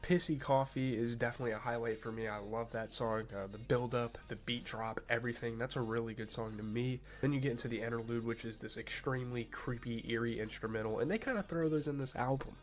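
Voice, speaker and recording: A young man hosts a casual album review with a congested, nasal voice. He talks loosely and eagerly, stacking fillers and stumbling restarts, his pitch sitting in an ordinary middle range. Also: quick pace, few pauses. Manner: loose and excited, many fillers and repeated starts. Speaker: music reviewer, channel host.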